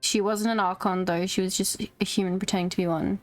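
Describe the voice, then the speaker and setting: A woman's voice: medium pitch, fairly even intonation, fast talker, faint music behind. A woman chatting casually as a game streamer, musing aloud in an informal, offhand commentary.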